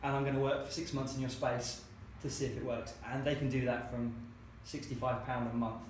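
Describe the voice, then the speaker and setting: Man, founder, rapid anecdotal storytelling, acts out quotes, very echoey room, faint hiss. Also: medium pitch, little pitch movement. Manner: chatty, acts out quoted dialogue. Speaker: co-working space founder.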